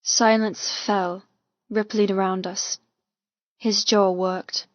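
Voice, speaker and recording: A woman reading a first-person romance audiobook with intense, expressive, dramatic delivery. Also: mid-range voice, slow, no echo, muffled recording. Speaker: audiobook narrator.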